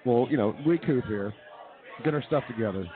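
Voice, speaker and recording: A man's voice: medium pitch, brisk. A man hosting a casual live comedy radio show. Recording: tinny stream, bar crowd noise. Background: crowd.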